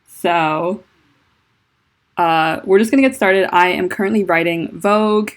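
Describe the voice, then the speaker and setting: Deep-voiced woman with a lisp. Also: unhurried. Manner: rambling and self-conscious, many fillers. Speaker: livestreaming fanfiction writer. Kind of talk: casual livestream chat. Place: at home indoors. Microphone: laptop mic.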